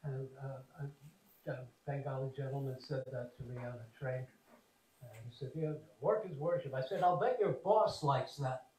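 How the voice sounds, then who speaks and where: A man giving a devotional talk, wry and digressive, with halting fillers. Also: medium pitch, a little mellow. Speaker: senior monk speaker. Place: temple hall. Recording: roomy hall, voice from far off, faint noise.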